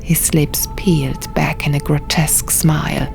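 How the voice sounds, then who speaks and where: A woman narrating a fantasy audiobook in a hushed, measured, dramatic storytelling style. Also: low voice, steady tone, vocal fry. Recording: music behind the voice.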